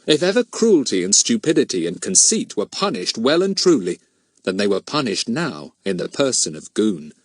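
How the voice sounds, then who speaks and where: A man's voice: on the low side, big pitch swings, normal speed, slightly rough, no room sound, clean studio sound. A man reading a children's story as scripted audiobook narration.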